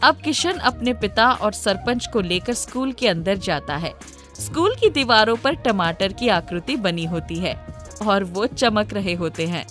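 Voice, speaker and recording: A woman's voice: mid-range voice, expressive, unhurried, a bit bright. A woman reading a scripted storybook narration as the storyteller. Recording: music under the voice. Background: background music.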